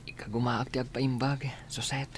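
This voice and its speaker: An actor playing a dutiful, soft-spoken sick student in a radio drama, with an Ilocano accent. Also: male voice, mid-range voice, level tone, normal speed, faint music behind, close to an everyday voice. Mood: subdued. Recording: noticeable background noise.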